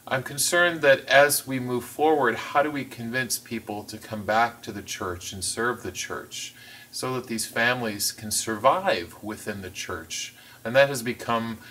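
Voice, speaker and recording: Man, sign language interpreter, low voice, measured careful phrasing, slightly distant mic, faint hiss. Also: pushed and bright. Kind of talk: voicing a signed interview.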